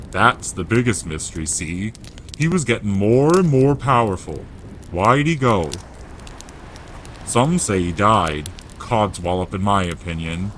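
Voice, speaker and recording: A man playing a gruff, kindly, grieving and protective giant with folksy, rough grammar in an audiobook drama. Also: lowish voice, a bit bright, a little hiss or hum. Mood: musing.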